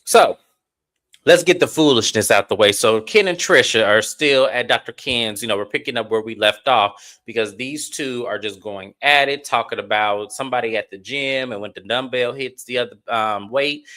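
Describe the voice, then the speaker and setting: A man's voice: medium pitch, normal speed. A man hosting a live stream, chatting casually to viewers as he recaps a reality show episode. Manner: chatty, animated, addresses viewers directly. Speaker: host.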